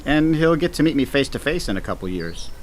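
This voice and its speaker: A man's voice: on the high side, sing-song, fairly quick, background noise. An actor playing an easygoing, teasing male space station commander with a casual, wry manner in an audio drama. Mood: playful, fond.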